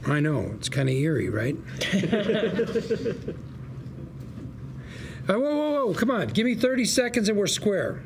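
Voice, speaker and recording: A man's voice: high-pitched, sing-song, slow, pauses often, noisy background, full character voice. An actor playing a scheming, sarcastic lawyer with a folksy salesman's patter at a script table read. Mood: persuasive. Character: scheming, sarcastic younger brother, a slick fast-talking lawyer.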